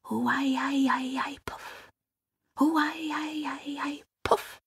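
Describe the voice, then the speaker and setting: A woman's voice: lowish voice, wildly swooping pitch, unhurried, a bit bright, breathy edge, voice pushed down, heavily acted. A woman voicing a sullen, sarcastic teenage troll boy in a storybook. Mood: secretive whisper. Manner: grumbling, sarcastic.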